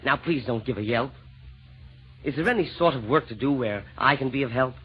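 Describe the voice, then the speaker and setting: An actor playing a repentant Roman emperor, timid, grateful and giddy, in theatrical, formal rhymed verse for 1940s radio comedy. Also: male voice, mid-range voice, expressive, a little fast, husky. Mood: humble, earnest. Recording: dull vintage radio broadcast.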